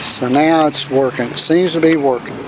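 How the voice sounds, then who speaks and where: A man's voice: on the high side, steady tone, normal speed. A man giving a casual, hands-on demonstration as a video host, talking in a folksy, informal way. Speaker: host of a do-it-yourself radio video. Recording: clear outdoor background noise with vehicles. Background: outdoor ambience, vehicle noise.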